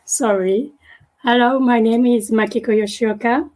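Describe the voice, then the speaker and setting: A young woman with a Japanese accent, a native Japanese speaker, giving an academic presentation online and haltingly searching for words. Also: a little high, steady tone, a little mellow, poor audio. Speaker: guest speaker, graduate student. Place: online video meeting.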